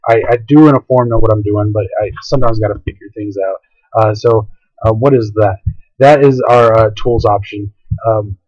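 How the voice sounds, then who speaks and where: Hoarse guy rambling thru a screen tutorial, um uh a lot, muffled dry mic. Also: mid-range voice, a little mellow, no echo. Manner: rambling, hesitant, many fillers. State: hoarse, voice going out. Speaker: software tutorial host. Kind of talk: casual screen-recorded tutorial.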